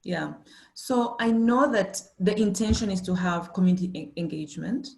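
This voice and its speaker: A woman's voice: on the low side, moderate pace, gravelly, darkish tone. A middle-aged woman giving a webinar panel talk, thoughtful and hesitant, with many fillers and restarts. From Uganda. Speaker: panelist, company managing director.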